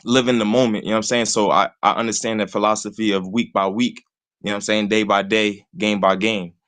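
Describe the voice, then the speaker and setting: A man's voice: on the low side, flat delivery, a little fast, a bit bright. A man, talking sports casually and rambling, leaning on "you know what I'm saying" all the time. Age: 20s. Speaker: call-in guest host.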